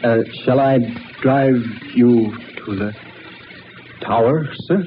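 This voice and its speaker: An actor playing a humble, anxious chauffeur in an old radio drama, deferential, hesitant and folksy. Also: male voice, mid-range voice, slow pace, lightly acted. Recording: muffled, noisy old radio recording.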